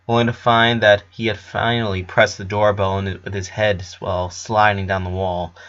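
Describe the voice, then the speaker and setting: A man's voice: on the low side, fairly bright tone, lo-fi sound. A man reading a story aloud as narrator, in an amateur storytelling style.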